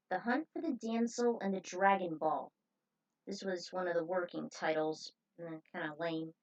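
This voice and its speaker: A woman casually narrating fan fiction aloud as a host, in an informal, chatty way, on a muffled recording. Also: mid-range voice. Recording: dull lo-fi recording.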